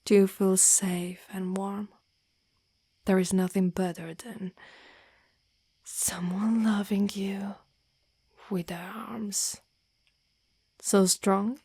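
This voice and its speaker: A woman voicing a devoted, lovestruck female dragon knight in human form, in an intimate, half-whispered ASMR roleplay with formal, halting phrasing. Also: medium pitch, warm tone, slightly rough, dry recording, clean studio sound. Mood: tender, affectionate. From Italy. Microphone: close microphone.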